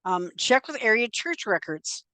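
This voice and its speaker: An older woman leads a relaxed genealogy talk, chatting along with plenty of little fillers. Her voice is smooth and clear. The sound is dry and close, with no room around it. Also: on the high side, normal speed, no echo. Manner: conversational and chatty, with frequent fillers. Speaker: genealogy presenter. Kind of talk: informal slide presentation.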